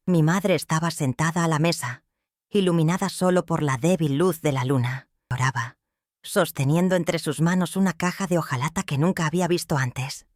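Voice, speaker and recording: Brief: older woman, first-person storyteller, solemn and measured, dry recording. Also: low voice, no echo. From Michoacán, Mexico. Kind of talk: scripted first-person narration.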